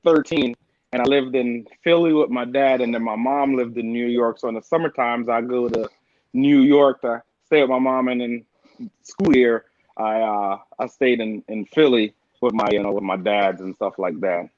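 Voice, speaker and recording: A middle-aged man from Jamaica, a guest on a casual podcast, telling stories in a relaxed way and often saying "man" and "like". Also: mid-range voice, moderate pace, no echo, muffled recording. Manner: relaxed storytelling with frequent "man" and "like".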